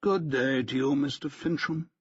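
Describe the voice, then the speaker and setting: A man's voice: medium pitch, normal speed, husky, no room sound, dull audio, close to an everyday voice. A man voicing a pompous, stiff middle-aged barrister who talks in a haughty, clipped, precise way.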